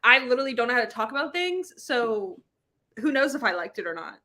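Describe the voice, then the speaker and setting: Bright-voiced woman chatting casually about books. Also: on the high side, sing-song, a little fast. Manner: informal, slangy, rambling. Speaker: live show host. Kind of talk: casual book club chat.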